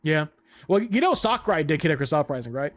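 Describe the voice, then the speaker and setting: A man's voice: fairly high pitch, sing-song, fast talker. A man hosting a casual let's-play, chatting and rambling with a co-host while he plays, laughing often. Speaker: gameplay host.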